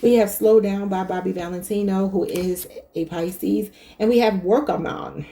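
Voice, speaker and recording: A woman reads tarot cards and chats straight to her viewers. Her voice is mellow and warm, at a middle pitch. She talks loosely and thinks out loud. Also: steady tone, moderate pace. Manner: chatty, thinking aloud, addresses viewers as y'all. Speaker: tarot reader. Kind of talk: casual tarot reading to camera.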